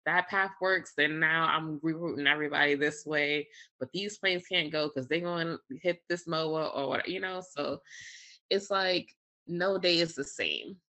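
A woman's voice: sits low, unhurried. A woman speaking in a casual, conversational interview style, telling things through little acted-out quotes with lots of likes and you-knows. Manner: animated storytelling with acted-out quotes, frequent "like" and "you know". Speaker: air traffic controller, interview guest.

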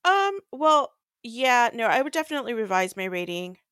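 A woman's voice: a little high, very animated, moderate pace, a bit bright, clean tone, dry recording, clean studio sound. A woman co-hosting a podcast, casually discussing and recapping books. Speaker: podcast co-host.

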